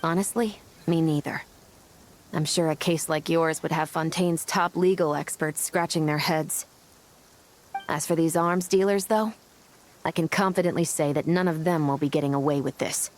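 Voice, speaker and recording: An actress playing a firm, fair-minded police officer in a video game, speaking with measured, frank authority. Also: female voice, low-pitched, fairly even intonation, lightly acted. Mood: firm, consoling.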